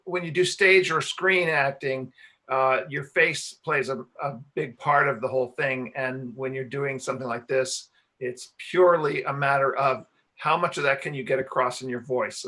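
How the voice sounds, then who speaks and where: A man's voice: medium pitch, bright, forceful tone. A man answering questions as a radio play writer and director at a live Q&A, explaining things in a hesitant, rambling way. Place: talk before a live audience.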